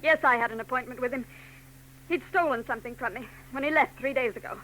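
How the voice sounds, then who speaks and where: A woman's voice: sits high, fast talker, bright, forceful tone, a little hiss or hum, low-quality recording. An actress playing a frightened, guarded young married woman in a 1940s radio drama. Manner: tense, guarded.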